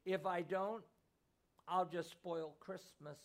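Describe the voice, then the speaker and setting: A man's voice: sits high, fairly even intonation, slow, vocal fry, heavily acted. A man voicing a small boy who talks through tears, choked and sniffling. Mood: tearful. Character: upset little boy, tearful and stubborn.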